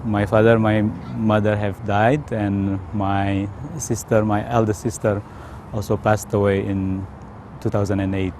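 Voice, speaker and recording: A man from Indonesia with an Indonesian accent, telling his personal story in a hesitant, careful way with frequent pauses. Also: fairly low pitch, flat delivery, a little mellow, slight background noise. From Indonesia, South Sumatra. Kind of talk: unscripted personal testimony.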